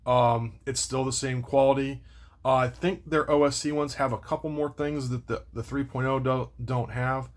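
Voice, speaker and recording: A man's voice: mid-range voice, fairly even intonation, moderate pace. A man hosting a casual, unscripted unboxing and review, rambling and circling back to repeat his points. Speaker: video host doing product unboxing and review.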